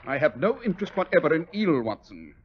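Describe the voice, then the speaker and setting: An actor playing a brisk, teasing gentleman detective with a British accent, crisp, commanding and wry, in 1940s radio style. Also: a little high, very animated. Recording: dull, noisy old radio recording.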